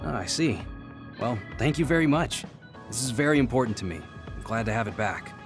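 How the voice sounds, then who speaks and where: An actor playing a kind, protective, earnest military captain in a video game. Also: male voice, medium pitch, lively intonation, brisk, over music, lightly acted. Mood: grateful. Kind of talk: English video game dub acting.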